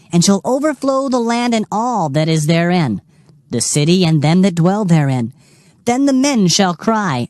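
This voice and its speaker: Female scripture reader, lowish pitch, highly animated solemn declamation over a clear music bed. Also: dry recording. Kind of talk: dramatized King James Bible reading.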